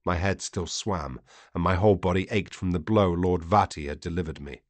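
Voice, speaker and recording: A man reading a first-person story as an audiobook narrator, grave, theatrical and deliberate. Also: low voice, no room sound.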